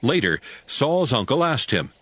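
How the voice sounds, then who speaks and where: A man narrating a dramatized audio Bible in a measured, expressive storytelling manner. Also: mid-range voice. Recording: faint music behind the voice.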